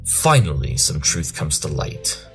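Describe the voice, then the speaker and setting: A man's voice: a bass voice, sing-song, unhurried, soft background music, exaggerated voice. A man voicing a brilliant, smug pony detective in an audio drama, pompous and self-assured as he explains. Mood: smugly confident.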